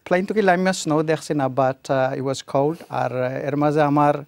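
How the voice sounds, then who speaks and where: Man, 50s, from Bangladesh, hesitant guest, quick, dry close sound. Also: on the high side, creaky, clean tone, dry recording. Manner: hesitant, many fillers, switches between English and Bengali. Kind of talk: casual interview chat.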